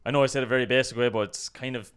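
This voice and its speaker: Clear-voiced Irish man chatting. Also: medium pitch, steady tone, rapid-fire. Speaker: co-host of a video channel. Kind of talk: casual discussion of an online controversy.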